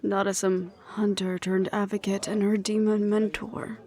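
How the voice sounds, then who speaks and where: A woman playing a wry, affectionate ex-hunter in an intimate audio roleplay, speaking softly and teasingly, with breathy asides. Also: mid-range voice, level tone, measured pace, lightly acted. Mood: tender, reassuring. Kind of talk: intimate one-sided audio roleplay acting.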